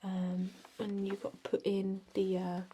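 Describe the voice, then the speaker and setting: Woman playing a slow, soft-whispering vampire office clerk. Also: mid-range voice. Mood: rambling, resigned. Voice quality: soft, breathy, often whispered. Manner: hesitant, rambling, deadpan workplace small talk. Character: chatty energy vampire office clerk, drily jokey. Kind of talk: ASMR roleplay, soft speech and whispering.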